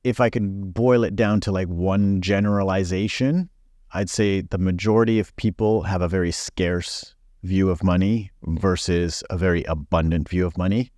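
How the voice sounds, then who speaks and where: A man's voice: low-pitched, fairly even intonation, moderate pace, darkish tone, no echo, studio quality. A man in his forties, born in Belgium, speaking frankly as a podcast guest with many fillers. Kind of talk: casual interview chat.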